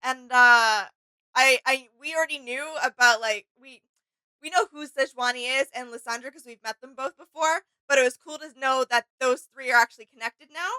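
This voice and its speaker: High, bright, clear-voiced woman chatting casually. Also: normal speed, rough recording. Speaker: reaction video co-host. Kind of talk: casual reaction chat.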